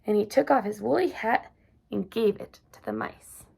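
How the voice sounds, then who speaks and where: A woman reading a children's picture book aloud, gentle and expressive. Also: mid-range voice, normal speed, gravelly, breathy edge. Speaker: storyteller. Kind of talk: children's story read-aloud.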